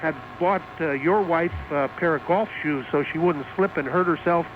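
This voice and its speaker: Male radio caller, high register, haltingly mock-aggrieved, thin telephone sound. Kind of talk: casual phone-in chat. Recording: tinny noisy phone line on radio.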